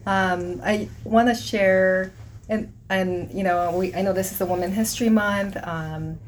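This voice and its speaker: A woman's voice: mid-range voice, steady tone, measured pace, slight background noise. A middle-aged woman from South Korea, an army hospital deputy commander, earnestly answering interview questions while searching for her words.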